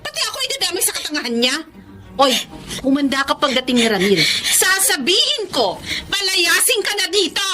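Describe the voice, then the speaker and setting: A woman's voice: very high voice, sing-song, brisk, sharp tone, full character voice. A Filipino woman playing a jealous, bossy, hot-tempered girlfriend in a radio drama, haughty and scolding. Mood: furious.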